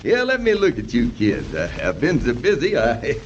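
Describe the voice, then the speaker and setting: A man's voice: medium pitch, huge pitch swings, normal speed. A man playing a hearty, modest middle-aged American bridge engineer in an old children's radio serial, folksy and fatherly with hesitant turns. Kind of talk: 1930s-40s children's radio serial acting. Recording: muffled old radio broadcast with hiss.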